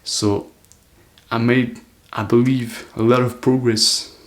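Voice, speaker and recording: A man's voice: medium pitch, little pitch movement, slow. An eighteen-year-old man talking to camera in an earnest, loose, reflective monologue, full of "like" fillers. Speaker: self-improvement vlogger.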